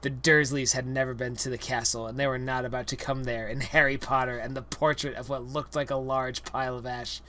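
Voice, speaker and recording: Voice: mid-range voice, flat delivery, fairly quick, hardly any pauses, fairly bright tone. A young adult reading a parody story aloud with exaggerated, comedic dramatic narration. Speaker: reader.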